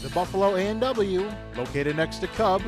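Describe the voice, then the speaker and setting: A man's voice: sits high, lively intonation, normal speed. A man with an American accent reading a scripted radio advertisement as an announcer. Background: music.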